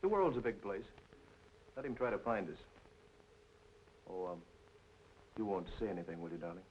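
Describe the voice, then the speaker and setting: An actor playing a troubled, world-weary lover in film noir style, talking in a terse, guarded way. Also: male voice, lowish voice, animated, moderate pace, slight background noise, lightly acted. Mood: hard, confrontational. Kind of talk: film noir melodrama acting, mid-century. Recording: muffled old film soundtrack with music.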